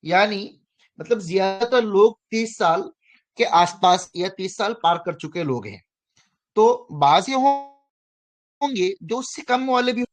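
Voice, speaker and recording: A man in his thirties teaching an online finance class, often pausing to check that students understand and correcting himself as he goes. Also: sits high, lively intonation. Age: 30s. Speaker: finance course instructor.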